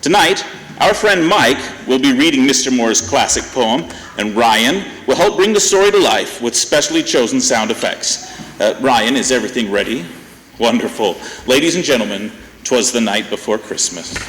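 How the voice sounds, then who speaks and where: A man's voice: mid-range voice, lively intonation, moderate pace, a bit bright. A man acting as announcer for a radio variety show, giving a scripted stage introduction. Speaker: announcer, master of ceremonies. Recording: echoey hall, long reverb, faint noise.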